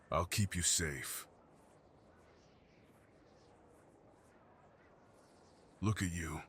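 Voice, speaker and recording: A low-pitched, solitary mountain creature, acted by a man, guards the peaks and speaks with solemn, protective gentleness. The delivery is measured and intimate, in the manner of fantasy ASMR roleplay. Clear ambient noise sits behind the deep voice. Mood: reassuring.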